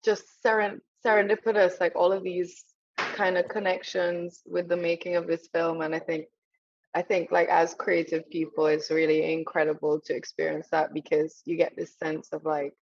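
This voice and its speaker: Woman, festival host on a video call, lowish voice, eager and word-searching, muffled lo-fi audio, dry. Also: flat delivery, moderate pace, darkish tone, no room sound. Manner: enthusiastic, searching for words, restarts. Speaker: festival director, interviewer. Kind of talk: casual interview chat.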